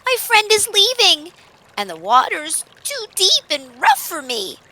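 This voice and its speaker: A woman's voice: high-pitched, animated, takes it slowly, pushed and bright, voice pushed up, heavily acted. A woman voicing a small, playful, loving female dog in an emotive, eager storybook style. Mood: pleading, upset. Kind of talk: children's storybook character voice.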